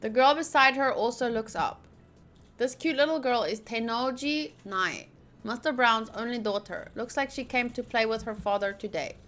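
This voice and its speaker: A woman's voice: on the high side, normal speed, a bit bright. A woman streaming a game, reading its text aloud and chatting casually with playful asides.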